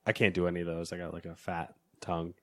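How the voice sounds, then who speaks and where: A man's voice: sits low, little pitch movement, fast, fairly bright tone. A man co-hosting a gaming podcast in casual chat, laid-back, with short replies. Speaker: podcast co-host.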